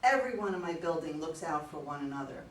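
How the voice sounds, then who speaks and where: A woman's voice: sits low, animated, fast. A woman in a staged play reading as an anxious, talkative client, earnest in manner. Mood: chatty, earnest. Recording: distant mics, echoey room, faint noise.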